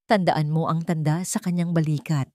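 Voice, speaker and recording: A woman narrator reads as a devoted young lover in a romance story. The voice is low, soft and earnest with a Filipino accent, in a dry, close recording. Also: steady tone, moderate pace, no room sound, natural voice. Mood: tender longing. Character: devoted, tender young man writing a love letter.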